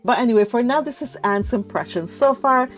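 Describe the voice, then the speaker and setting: A woman hosting a read-along, talking casually about a book in a giggly, animated, rambling way. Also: a little high, a little fast, soft background music.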